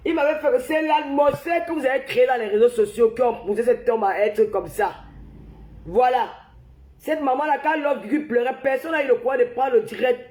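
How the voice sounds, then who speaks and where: High-voiced woman ranting on a livestream. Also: a little mellow, roomy sound, rough recording. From Cameroon. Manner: heated, repetitive, talks straight to viewers. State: has the flu. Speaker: livestream host. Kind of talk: casual live-stream rant.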